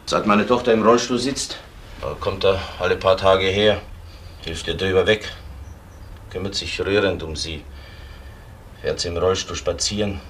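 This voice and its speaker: Older-ish dad in a crime radio drama, really deep voice, earnest, slight background noise. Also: male voice, measured pace, long pauses, fairly bright tone. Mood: earnest, insistent. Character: protective father vouching for a friend. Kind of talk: German crime radio play acting.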